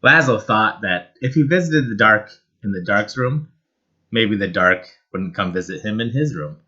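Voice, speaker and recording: A man reading a children's picture book aloud in an expressive, measured and dramatic storytelling style. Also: on the low side, highs cut off. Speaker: storybook reader.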